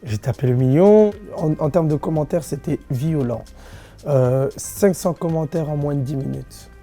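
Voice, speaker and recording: Slangy young man, mellow voice. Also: on the high side, moderate pace, faint music behind. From Belgium. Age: 20s. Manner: slangy, loose and playful, with frequent fillers. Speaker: dancer and social media comedian. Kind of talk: casual interview answers.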